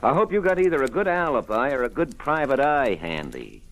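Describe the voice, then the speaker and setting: An actor with an American accent playing a gruff, wisecracking police sergeant in the style of an old radio detective drama. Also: male voice, mid-range voice, very animated, fairly quick, a little hiss or hum. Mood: sardonic. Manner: wry, slangy, wisecracking. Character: gruff, sardonic police sergeant, wry and needling.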